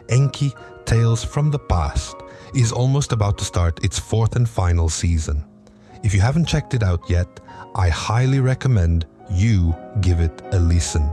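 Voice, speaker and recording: Low-voiced man introducing a podcast over music. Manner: folksy, addresses listeners directly. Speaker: podcast host and author.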